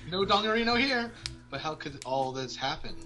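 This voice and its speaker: A man's voice: on the high side, very animated, normal speed. A young man doing amateur character readings for a visual novel, theatrical and playful, mixed with casual banter. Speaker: let's-play co-host. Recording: loud music behind the voice.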